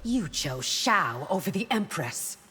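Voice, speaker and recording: A fierce, loyal bodyguard from a fighting game. Her voice sits a little low and moves widely as she delivers formal, defiant lines. It rings in a long reverb with faint noise behind. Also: big pitch swings, takes it slowly, sharp tone, breathy edge, full character voice. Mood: stern, reproachful. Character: fierce, devout, loyal female warrior bodyguard. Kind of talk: video game fight intro dialogue. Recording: reverberant hall, noisy game backdrop.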